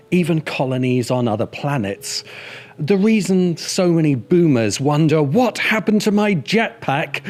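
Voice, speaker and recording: A man reading scripted video essay narration in a measured, emphatic and wry manner. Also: mid-range voice. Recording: music bed under the voice. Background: background music.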